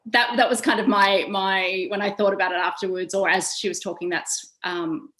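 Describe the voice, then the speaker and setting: A woman speaking unscripted on a discussion panel, often pausing to find her words. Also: medium pitch, fairly even intonation, fairly bright tone. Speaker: panelist, career development practitioner.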